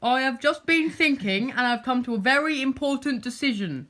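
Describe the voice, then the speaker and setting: A woman voicing an earnest, anxious, silly little toy bear from a children's storybook, speaking in solemn, deliberate pronouncements. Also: high-pitched, animated, a bit bright. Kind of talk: children's storybook character reading.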